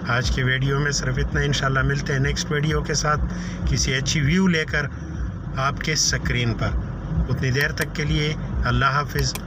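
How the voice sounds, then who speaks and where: A man's voice: medium pitch, level tone, moderate pace, distant mic, very noisy. A Pakistani man, chatting to viewers while driving a city road for his vlog. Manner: chatty, addresses viewers as nazreen. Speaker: vlogger. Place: inside a moving car on a city road.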